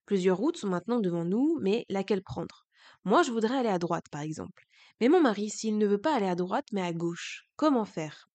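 A woman's voice: medium pitch, expressive, fairly quick, darkish tone. A woman hosting a podcast, speaking candidly and earnestly in a reflective personal monologue, her voice changed by a cold. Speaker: podcast host.

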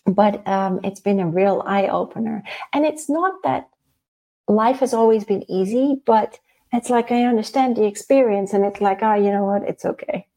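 A woman from the Netherlands, telling personal stories in a casual, animated, halting way as an interview guest. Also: mid-range voice. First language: Dutch. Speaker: interview guest, spiritual channeler. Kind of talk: casual conversational storytelling.

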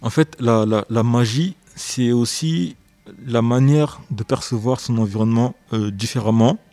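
A man's voice: medium pitch, measured pace, dark tone, no echo. A young man, an author being interviewed on the radio, speaking thoughtfully and hesitantly, often repeating words as he searches for phrasing. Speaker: author guest.

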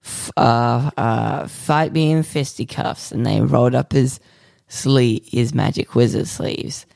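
A man co-hosting a podcast, rambling through absurd improvised stories in a deadpan way with lots of fillers. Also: medium pitch, creaky, dry recording. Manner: slow, rambling, deadpan nonsense with many fillers. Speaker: podcast co-host.

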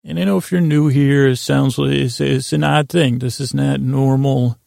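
A man speaks in a deeply gravelly, fry-laden voice that barely moves in pitch. He rambles like a bedtime podcast host, halting and wandering between half-finished thoughts. The recording is dry and close, with no room to it. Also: fairly even intonation, a little mellow, no echo. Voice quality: gravelly, creaky. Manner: meandering, halting, trailing off mid-thought.

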